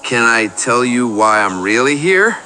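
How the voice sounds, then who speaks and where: A man's voice: mid-range voice, big pitch swings, measured pace, sharp tone, faint music behind, poor audio. A man playing a self-deprecating, neurotic caller on a comic radio show, rambling earnestly and apologetically. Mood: pleading.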